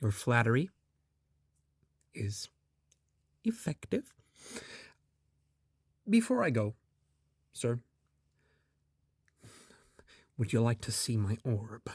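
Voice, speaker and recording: A man playing a strange little restaurant worker who believes he is an almighty sorcerer, speaking in a solemn, grandiose, deliberate way in a comedy sketch. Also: mid-range voice, very animated, slightly rough, dry recording, clean studio sound, heavily acted. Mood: mysterious, grand. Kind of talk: improvised comedy sketch acting.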